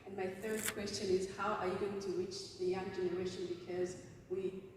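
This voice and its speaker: Woman from the DR Congo, audience question, steady flat delivery, soft mellow tone, echoey hall. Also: medium pitch, normal speed. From Democratic Republic of the Congo. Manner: orderly, lists questions one by one. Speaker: audience member asking questions. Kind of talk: asking questions at a meeting. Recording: echoey hall, distant mic, faint noise.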